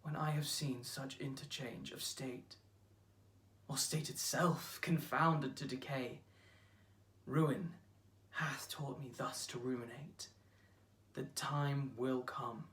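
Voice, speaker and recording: An actor playing a grieving, brooding lover who recites Elizabethan verse in a slow, weighty, declamatory way. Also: medium pitch, fairly even intonation, a bit bright, echoey room. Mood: solemn, mournful. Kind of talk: theatrical monologue acting. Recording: distant microphone.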